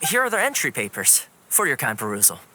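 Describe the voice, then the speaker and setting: An actor playing a friendly, easygoing young man in a video game, smooth-talking, breezy and affable. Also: medium pitch, huge pitch swings, a little fast, sharp tone, slight background noise, full character voice. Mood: playful.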